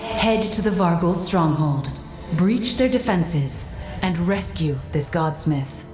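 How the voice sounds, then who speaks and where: An actress playing a solemn mystical guide in a fantasy game, speaking in a measured, commanding way. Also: female voice, on the low side, animated. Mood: solemn, instructive. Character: solemn mystical female guide, a living being counselling a warrior. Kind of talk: dramatic fantasy game acting. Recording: echoing, with music behind.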